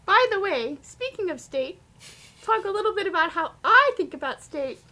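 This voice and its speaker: Female performer as chirpy bird mascot, tiny very high voice, conversational coding supervisor. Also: big pitch swings, normal speed, pushed and bright, heavily acted. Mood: explanatory.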